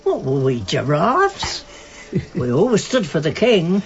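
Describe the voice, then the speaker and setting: Feisty old wife, lively swooping delivery, indignant and teasing, radio play with dull sound. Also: female voice, deep voice, slow, slight background noise, full character voice. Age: older. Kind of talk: radio drama acting.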